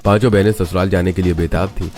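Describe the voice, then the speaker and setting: A man's voice: sits low, fairly even intonation, fast, darkish tone, faint music behind. A man reading scripted moral-story narration as a storyteller.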